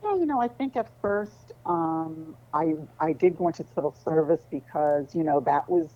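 A middle-aged woman from New City, a lawyer chatting casually as an interview guest, relaxed with many ums and laughs. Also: low-pitched, normal speed, highs cut off. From New York City.

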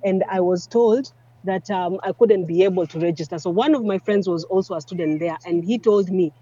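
Kenyan woman on a muffled call, relaxed, lots of ums. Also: on the low side, a little fast, breathy edge. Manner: hesitant, many ums and restarts. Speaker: guest. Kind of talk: casual interview answers.

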